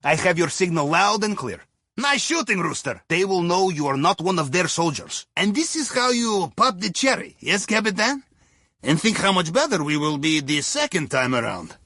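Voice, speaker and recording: A man's voice: sits high, sing-song, moderate pace, sharp tone, no echo, clean studio sound, exaggerated voice. A man playing a jovial, wry Russian submarine captain in a video game, with a Russian accent and a playful, theatrical way of guiding his crew. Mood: jovial, teasing. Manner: playful, theatrical, drops articles, tags sentences with questioning "yes?" or "huh?". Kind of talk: video game mission dialogue over comms.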